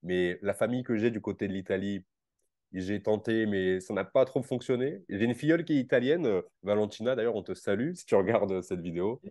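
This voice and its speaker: Low, mellow young man hosting casually. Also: brisk, dry recording, clean studio sound. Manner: informal, slangy. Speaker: interviewer and host. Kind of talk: casual video call interview. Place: bedroom at home.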